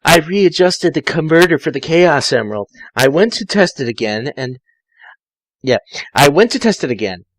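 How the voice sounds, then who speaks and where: Guy reading fanfic out loud, highish voice, super animated, dry close sound, trips over words. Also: normal speed, dry recording. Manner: theatrical, often stumbling and self-correcting. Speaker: amateur fan fiction reader. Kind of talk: informal dramatic story reading.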